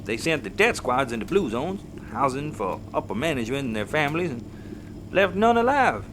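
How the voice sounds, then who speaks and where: An actor playing a folksy patriarch in an audio drama, telling his story in a dramatic, deliberate way. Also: mid-range voice, very animated, noisy background, lightly acted. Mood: grave, haunted. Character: folksy Texan patriarch haunted by wartime hardship. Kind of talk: audio comedy drama acting, storytelling monologue. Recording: music underneath.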